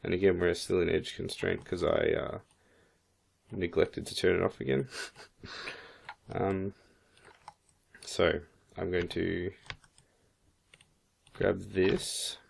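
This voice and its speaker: Croaky low-voiced man talking through a tutorial. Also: steady tone, takes it slowly, long pauses. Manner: casual, thinking aloud while working. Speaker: 3D modeling instructor. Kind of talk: screen-recorded software tutorial.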